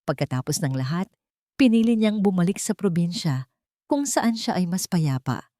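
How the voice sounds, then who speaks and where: A woman with a Filipino accent narrates a dramatic romance story from a script. Her voice sits low, and the sound is close and dry. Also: big pitch swings, moderate pace, mellow, no room sound.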